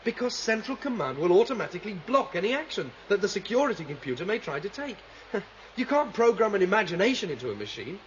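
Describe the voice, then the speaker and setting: An actor playing a confident, idealistic rebel leader, explaining emphatically in a 1970s sci-fi radio drama. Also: male voice, high-pitched, sing-song, fast talker. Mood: confident, scheming. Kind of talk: 1970s science fiction radio drama acting. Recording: dull vintage radio recording, faint noise, water.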